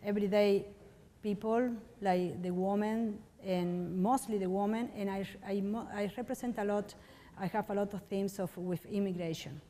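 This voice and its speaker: A woman sculptor, speaking at a middle pitch. She rambles and searches for words as she tells a story. Her voice carries a long reverberant tail. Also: steady tone, moderate pace, warm tone. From Argentina. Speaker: stone sculptor. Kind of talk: casual interview, storytelling.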